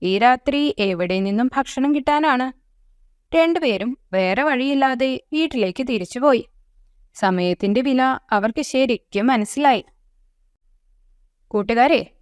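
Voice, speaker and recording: A woman narrates a children's tale in a high, smooth voice, recorded very dry and close. Her delivery is scripted storytelling aimed at young listeners. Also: lively intonation, unhurried, dry recording.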